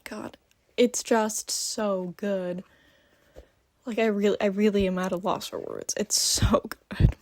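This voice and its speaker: A woman's voice: medium pitch, a little mellow, no room sound. A woman chatting casually in a book review vlog, just woken up, gushing with lots of ums. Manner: gushing, filler-heavy, many ums. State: just woke up. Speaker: book vlogger.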